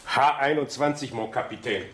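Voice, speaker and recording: In a very reverberant space with faint noise, a male French military guard, played by an actor speaks. His delivery is clipped, formal and dutiful, in radio drama style. The pitch is average for a man. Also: normal speed, lightly acted. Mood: curt, formal. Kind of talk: German radio drama acting. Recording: echoey room with faint noise.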